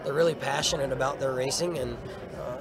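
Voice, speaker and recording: A man with a mid-pitched voice answers casually as an interviewed athlete. A crowd is clearly audible around him. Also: steady tone, brisk. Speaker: racing driver being interviewed. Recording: clear crowd noise behind.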